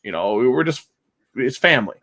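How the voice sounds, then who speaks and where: A man hosting a casual podcast chat, talking loudly and rambling with many fillers, his mic set about two feet away. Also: medium pitch, lively intonation, brisk. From Wisconsin. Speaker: podcast host.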